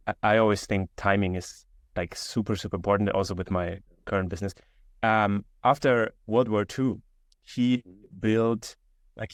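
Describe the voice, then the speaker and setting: A man's voice: lowish voice, no echo. A man in his twenties with a German accent, chatting casually as an interview guest over a video call, with lots of fillers and restarts. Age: 20s. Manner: relaxed, many fillers and restarts. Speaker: guest entrepreneur. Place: indoor room.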